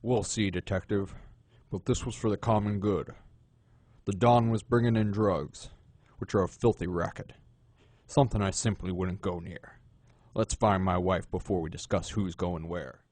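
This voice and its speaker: Man playing a low, suave gentleman gangster. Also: steady tone, dry recording. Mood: smooth, self-assured. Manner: unhurried, courtly, condescending. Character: suave, menacing gentleman crime boss. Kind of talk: crime audio drama acting.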